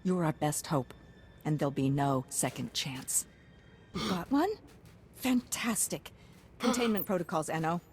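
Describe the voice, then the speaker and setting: A woman's voice: sits low, unhurried, long pauses, slight background noise. A woman playing a pragmatic, hard-nosed military science commander in a video game, clipped and businesslike. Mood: urgent, clinical. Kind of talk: video game character acting.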